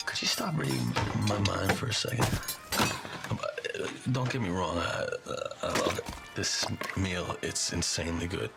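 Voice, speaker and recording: An actor playing a bewildered, good-hearted 1920s baker, plain-spoken and halting. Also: male voice, deep voice, lively intonation, gravelly, over loud music. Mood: flustered, appreciative. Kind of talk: period film acting.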